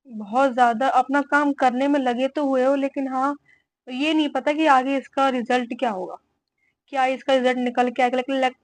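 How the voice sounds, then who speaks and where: Hoarse woman tarot reader, high, flat delivery, slow and gentle; dry close sound. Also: no room sound, low-quality recording. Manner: slow, soft-spoken, mixing Hindi and English. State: hoarse with a cold. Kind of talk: tarot reading for viewers.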